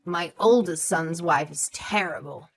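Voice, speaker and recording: A woman's voice: on the low side, measured pace. Woman acting a haughty, condescending elderly mother-in-law, music behind. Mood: scornful. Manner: condescending, sharp, calls others sweetheart and darling. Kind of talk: scripted audio story acting.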